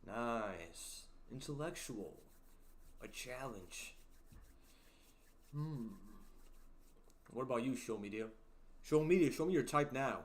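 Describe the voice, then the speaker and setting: A young man hosting a casual livestream, reading chat aloud and joking around, with a deep voice. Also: lively intonation, slow pace, pauses often. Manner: playful, jokey, sings snippets and reads chat aloud. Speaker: livestream host.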